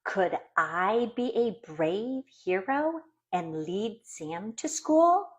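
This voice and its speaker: A woman's voice: mid-range voice, sing-song, extremely slow. A woman voicing an eager little girl, a proud younger sister, in a children's storybook read-aloud.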